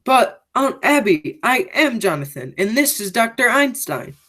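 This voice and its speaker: A woman's voice: fairly high pitch, sing-song, a little slow, fairly bright tone, heavily acted. A teenage girl playing a sinister criminal man in a school radio play, speaking in grandiose, theatrical phrases. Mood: insistent. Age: teens. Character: sinister, theatrical criminal nephew returning home.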